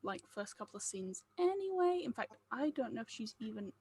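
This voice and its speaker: Higher-voiced, informal woman directing. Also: big pitch swings, fairly quick, a little mellow, slightly rough. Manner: informal, encouraging. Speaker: director of an audio drama table read. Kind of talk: casual group chat and feedback.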